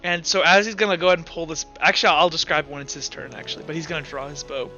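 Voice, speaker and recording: High, bright-voiced man running a game. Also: very fast, lo-fi sound. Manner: casual, informal, sound-effect-laden descriptions. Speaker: game master. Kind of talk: narrating a tabletop roleplaying game. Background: music.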